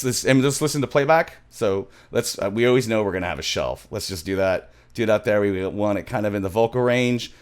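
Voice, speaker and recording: Low-pitched man thinking aloud in a tutorial. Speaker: music production tutorial host. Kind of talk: casual screen-recorded software tutorial. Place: small home studio room, indoors.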